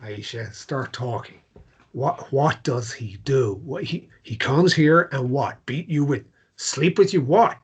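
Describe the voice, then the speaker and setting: A protective man in a drama, played by a male actor, speaks with a husky voice. He is blunt and insistent, pressing hard and repeating words as he stumbles over them. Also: medium pitch, takes it slowly. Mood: incredulous, pressing. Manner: blunt, probing, stammering repetitions. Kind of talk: naturalistic drama table read.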